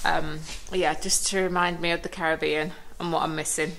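A woman giving a casual walking tour of her shop and café, filmed on a handheld phone. She chats in a relaxed way and laughs now and then. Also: sits low, normal speed, creaky, slight background noise. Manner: chatty and relaxed, with small laughs. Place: small shop and café, indoors and on the pavement outside. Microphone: handheld phone camera.

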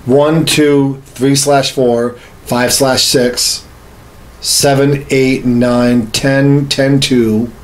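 A man's voice: medium pitch, a little mellow. A man in his thirties talking casually and emphatically about games, swearing and repeating himself as he goes. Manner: profane, emphatic, repetitive. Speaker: podcast host. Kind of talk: casual gaming chat. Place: small home studio room, indoors.

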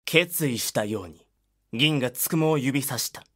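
A man's voice: medium pitch, normal speed, a bit bright. A man giving dramatic scripted narration as the narrator of an audio drama.

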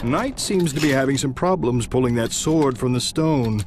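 An actor playing a wry, mocking storybook narrator who gives droll, theatrical asides in a scripted video game. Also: male voice, mid-range voice, lively intonation, normal speed, background noise, natural voice. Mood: sarcastic.

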